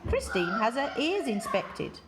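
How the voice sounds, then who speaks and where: A woman reading a children's picture book aloud in deliberate, measured phrases with expressive emphasis. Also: on the high side, background noise. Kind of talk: read-aloud children's story.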